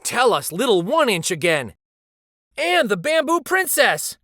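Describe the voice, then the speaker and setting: A man voicing loud, exuberant grown-up fans calling out in a picture book reading. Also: extremely high pitch, big pitch swings, a little slow, dry recording, clean studio sound, voice pushed up, heavily acted. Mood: excited. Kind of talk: picture book read aloud.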